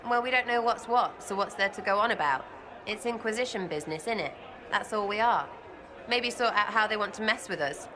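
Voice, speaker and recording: A woman's voice: mid-range voice, a little fast, crowd noise, close to an everyday voice. A woman with an English accent voicing a scrappy, irreverent young rogue in a video game, blunt and cheeky. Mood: cheeky, grumbling.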